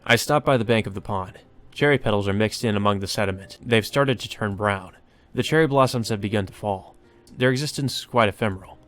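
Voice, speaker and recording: A man's voice: lowish voice, a little fast, fairly bright tone, faint music behind. A man hosting a Let's Play, reading a visual novel aloud with casual commentary.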